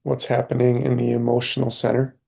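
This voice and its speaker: A man leading a guided meditation, speaking calmly in short phrases with long pauses between them. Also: medium pitch, creaky, breathy edge. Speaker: meditation teacher.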